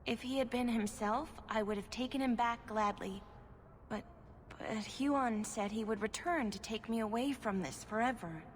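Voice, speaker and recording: An actress playing a timid, sad elven seamstress in a video game, speaking hesitantly and deferentially. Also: female voice, a little high, normal speed, a little hiss or hum, lightly acted. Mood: anxious, pleading. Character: timid, sorrowful elven seamstress, polite abandoned wife.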